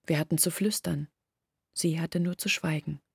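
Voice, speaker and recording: An actress playing a village woman in a radio drama, recounting her outcast family's shame in a measured, reflective way. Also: deep voice, fairly even intonation, vocal fry, breathy edge, no echo, studio quality, exaggerated voice. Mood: grave, reflective. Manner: measured, reflective, articulate storytelling. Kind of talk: literary radio drama monologue.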